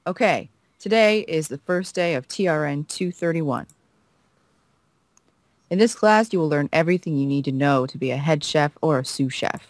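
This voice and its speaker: A woman voicing a composed male cooking professor with a measured, formal, dry manner in a visual novel. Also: deep voice, dry recording, close to an everyday voice. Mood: formal welcoming.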